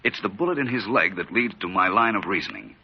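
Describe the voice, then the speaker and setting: An actor playing a suave, witty amateur detective in 1940s radio drama style, urbane and precise. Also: male voice, on the low side, expressive, fast talker, a little hiss or hum. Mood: cool, reasoning. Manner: urbane, precise, coolly reasoning. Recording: dull vintage radio recording.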